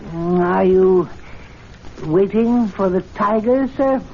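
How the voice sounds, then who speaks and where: A man playing an older club servant in a radio drama, outwardly deferential but quietly impertinent and superior. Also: high-pitched, slow pace. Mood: slyly insolent. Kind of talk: 1970s radio drama acting. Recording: dull, noisy old radio recording.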